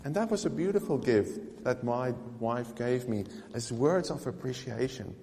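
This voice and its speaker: A man's voice: medium pitch, animated, moderate pace, dark tone, big-room sound. Eager man. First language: Afrikaans. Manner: eager, chatty, with small restarts. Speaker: vlogger. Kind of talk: casual chat showing gifts.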